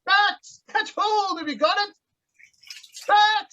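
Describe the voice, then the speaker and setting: Radio play: male actor as young man in peril, high-pitched, bright, earnest and pressing. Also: slow pace, highs cut off, a little hiss or hum, full character voice. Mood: desperate shouting. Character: earnest, urgent young man trapped in flooded mine. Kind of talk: amateur radio play acting.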